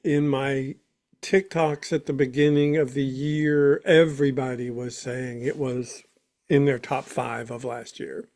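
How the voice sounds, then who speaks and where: A man's voice: medium pitch, steady tone, a little slow, a little mellow. A man co-hosting a podcast, chatting casually and reacting to what he hears. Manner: brief interjections, listening and reacting. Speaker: podcast co-host.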